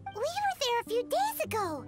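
A woman voicing a tiny, excitable, wide-eyed girl in a cartoonish game, squeaky and childlike. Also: normal speed, music in the background, full character voice. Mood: curious, concerned. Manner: exclamatory, wide-eyed questioning.

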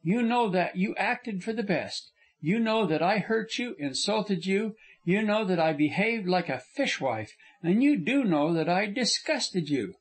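Frank young woman, impassioned and earnest, lifted higher than a man's voice, as a male narrator puts her on. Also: animated, unhurried, breathy edge, full character voice.

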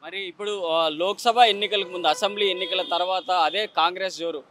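A male reporter asks questions in a street interview. His voice is high and bright, and he talks at a quick clip. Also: slight background noise. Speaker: news reporter. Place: outdoors on a city roadside. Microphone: handheld microphone.

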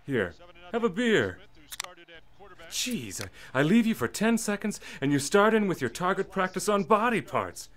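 A man's voice: high voice, very animated, unhurried, a bit bright, exaggerated voice. A man acting in a radio drama as a flippant, exasperated crook sidekick who chides his partner. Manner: flippant, chiding.

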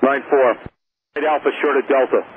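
A man's voice: mid-range voice, fairly even intonation, moderate pace. A man working as an air traffic ground controller, giving pilots blunt, exasperated instructions over the radio. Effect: radio transmission. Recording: tinny air traffic radio, faint static.

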